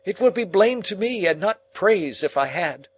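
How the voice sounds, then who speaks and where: A man's voice: a little high, big pitch swings, slow, a little mellow, breathy edge, voice pushed up, exaggerated voice. A man voicing a snappish, scolding older sister in a storybook reading. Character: shrill scolding sharp-tongued older sister.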